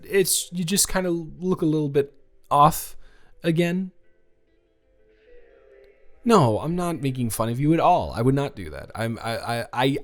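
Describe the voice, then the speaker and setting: A man playing a sweet, playfully teasing young college student in a romantic audio roleplay, speaking casually and haltingly with repeated words. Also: medium pitch, lightly acted. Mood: gently concerned. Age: young adult.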